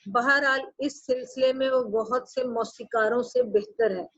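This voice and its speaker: A woman teacher with a Pakistani Urdu accent reads a text to her class and explains each line in simpler words, jumping to a high pitch when she stresses a point. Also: expressive, measured pace, gravelly, a bit bright, dry recording. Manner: reads a line aloud, then restates it in plainer words. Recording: dull, muffled sound.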